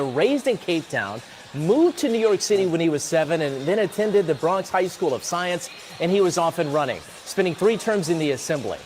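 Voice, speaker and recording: A male TV anchor delivers live election commentary with very lively swings in his voice. His delivery is rhetorical, with faint noise in the background. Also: a little high. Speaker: TV news anchor.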